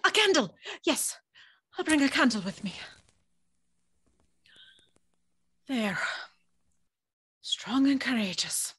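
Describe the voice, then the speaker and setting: Slow, husky young servant girl. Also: high voice, sing-song, fairly bright tone, no room sound, full character voice. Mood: nervous, curious. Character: timid but curious young servant girl, gentle and polite. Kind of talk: audio drama acting with first-person storytelling.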